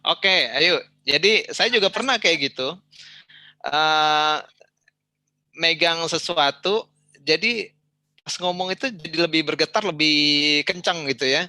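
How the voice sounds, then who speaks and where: A middle-aged man from Indonesia talks easily and conversationally, with a bright, forceful tone. The sound is dry and close, as on a video call. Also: on the high side, unhurried, long pauses, no echo. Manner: relaxed, conversational, with frequent fillers and asides. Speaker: speaker answering questions in an online session. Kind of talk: casual talk and advice.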